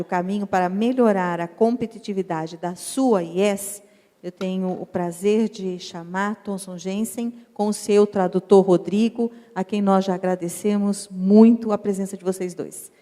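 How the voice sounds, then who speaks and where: A Brazilian woman moderates a conference panel, speaking with cordial courtesy. Her voice is mellow and dark-toned, and it carries into a large hall with a long reverb. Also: medium pitch, steady tone, unhurried. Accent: Brazilian Portuguese.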